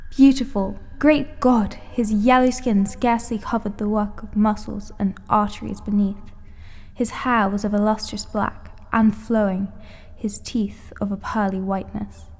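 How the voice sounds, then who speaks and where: A woman's voice: mid-range voice, flat delivery, measured pace, a little mellow. A young woman gives a solemn, declamatory dramatic reading as anguished, horrified figures from gothic literature. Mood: horrified awe. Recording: echoey hall with background noise.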